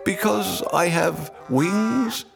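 A man voicing a naive, excitable young dodo bird in a children's storybook, plaintive and given to bewildered exclamations. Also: high-pitched, very slow, vocal fry, over music, pitched above the performer's own voice, heavily acted. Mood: pleading.

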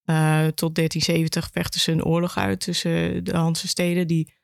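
Creaky-voiced woman explaining history. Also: on the low side, little pitch movement, moderate pace, no room sound, clean studio sound. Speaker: education officer, podcast guest.

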